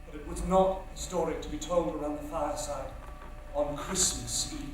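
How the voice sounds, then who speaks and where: Male actor as insistent young thespian, dramatic stage delivery, raised pitch, big echoing hall, faint noise. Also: normal speed, natural voice. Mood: haunted, dramatic. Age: young adult. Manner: declamatory, dramatic, commanding. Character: brisk, insistent young actor coaching an older man. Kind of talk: theatrical stage acting, projected storytelling. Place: stage in a theatre. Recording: echoey theatre, far mic, faint noise.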